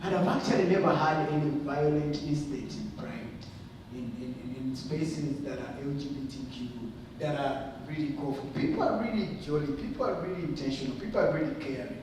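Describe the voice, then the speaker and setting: A man's voice: a little high, brisk. A man giving an impassioned talk at a community forum, emphatic and repetitive, often posing rhetorical questions. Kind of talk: impassioned public speaking to a group. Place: large indoor arts venue. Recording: noisy, echoey hall recorded from far off.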